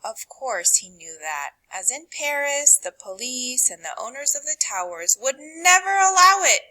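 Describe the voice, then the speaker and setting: A woman reading a picture book aloud to children, with dramatic, deliberate phrasing that drops to a hush and rises for emphasis. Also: sits high, big pitch swings, rough recording. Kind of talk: expressive read-aloud narration for children.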